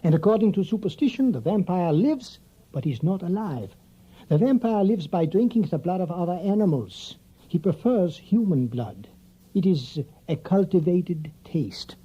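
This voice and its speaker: An actor playing a grave older European professor and vampire hunter, formal, deliberate and secretive, in an audio drama. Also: male voice, high-pitched, big pitch swings, mellow, breathy edge, highs cut off, exaggerated voice. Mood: ominous.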